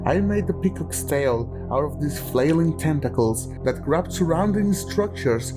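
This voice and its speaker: A man's voice: fairly high pitch, animated, measured pace, music in the background. A man hosting and narrating an art video in scripted prose, with joking asides.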